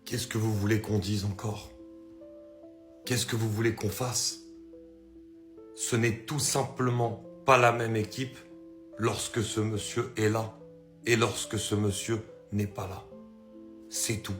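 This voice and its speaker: A man's voice: on the low side, little pitch movement, slow, long pauses, echoey room, over music. A man giving emphatic, insistent football commentary in a video. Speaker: football YouTuber.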